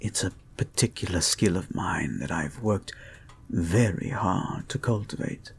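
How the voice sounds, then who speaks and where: A man playing a creepy, obsessive hypnotist therapist in an audio drama, murmuring calm, controlling guided meditation in a soft breath that often drops to a whisper. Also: sits low, vocal fry, slight background noise, full character voice. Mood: smug, intrigued.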